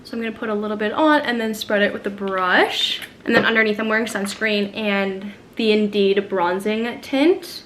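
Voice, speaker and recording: A young woman chatting casually to camera in a vlog while doing her makeup at home, upbeat and full of soft fillers. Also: medium pitch, moderate pace, hardly any pauses, a little hiss or hum. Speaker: lifestyle vlogger. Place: at home in front of a mirror.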